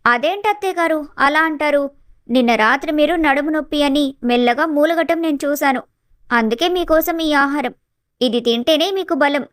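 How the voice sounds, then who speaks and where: A woman playing a sly, sweetly bossy young daughter-in-law in an animated family story. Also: sits high, moderate pace, no room sound, clean studio sound. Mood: slyly insistent. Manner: mock-sweet, solicitous.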